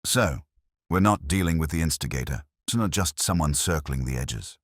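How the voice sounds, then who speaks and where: An earnest police sergeant sidekick in a mystery audiobook, acted by a man, methodical and questioning. The voice is low with lively intonation and a dry sound. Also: moderate pace, no echo. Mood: matter-of-fact.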